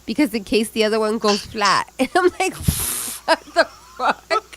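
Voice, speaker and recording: A woman's voice: a little high, a little slow, a little hiss or hum. A woman in her late thirties co-hosting a podcast, chatting casually, laughing often and swearing freely. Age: 30s. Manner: giggly, profane, animated storytelling. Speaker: podcast co-host. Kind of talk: casual chat.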